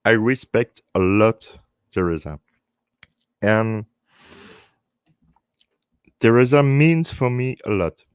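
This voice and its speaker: A man with a French accent and French as his first language, hosting a live stream in halting English, speaking earnestly and unscripted in short phrases with repeated words. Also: fairly low pitch, animated, smooth, dry recording, studio quality. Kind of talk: earnest unscripted talk to online viewers.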